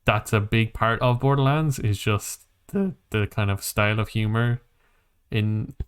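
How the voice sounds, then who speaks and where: A man's voice: on the low side, a little slow, clear, resonant tone. A man hosting a casual podcast about games and films, thinking aloud in a hesitant, rambling way. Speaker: podcast host.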